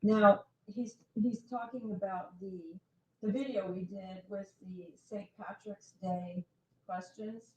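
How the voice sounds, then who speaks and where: A woman's voice: medium pitch, steady tone, takes it slowly, breathy edge. A woman co-hosting a casual live stream, chatting and greeting viewers by name. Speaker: co-host reading the live chat. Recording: roomy, distant, dull with faint noise.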